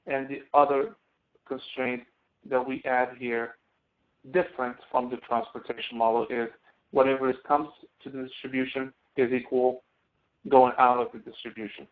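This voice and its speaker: A male instructor walks students through material step by step in an online session. His delivery is halting, with frequent restarts, at an ordinary male pitch. The audio is thin and narrow, like a phone connection. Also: flat delivery. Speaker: college instructor. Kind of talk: online tutorial, explaining step by step. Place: online screen-sharing class session. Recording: tinny phone-like webinar audio.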